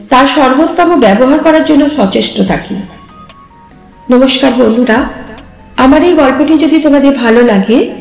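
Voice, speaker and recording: A woman storyteller and channel host reading a scripted story aloud. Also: sits high. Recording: echoey, long reverb, music underneath. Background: music.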